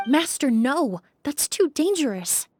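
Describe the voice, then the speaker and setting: An actress playing a cautious, devoted young female apprentice in a video game, gentle, reserved and protective. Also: high voice, sing-song, takes it slowly, faint music behind, heavily acted. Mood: alarmed.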